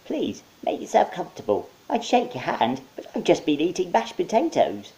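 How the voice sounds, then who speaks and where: A man's voice: high voice, wildly swooping pitch, moderate pace, gravelly, warm tone, voice pushed up, exaggerated voice. A man voicing a genial, chatty monkey who plays the polite office host in a story. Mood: genial, welcoming.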